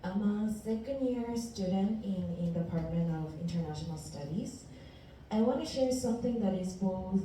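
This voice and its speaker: A woman in her twenties from Ecuador, a native Spanish speaker, giving an earnest, deliberate prepared presentation as a university student. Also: medium pitch, mellow. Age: 20s. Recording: echoey room, distant mic, faint noise.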